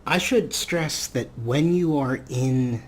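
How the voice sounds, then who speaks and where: A man's voice: medium pitch, takes it slowly, a little hiss or hum. A man giving casual let's play commentary in a chatty way, with playfully formal turns of phrase. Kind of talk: casual let's play commentary with some read-out game text.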